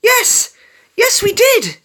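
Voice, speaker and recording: A storyteller voicing an excitable animal friend who relentlessly urges and encourages, in a story reading. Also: extremely high pitch, slow, pitched above the performer's own voice, heavily acted. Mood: cheering.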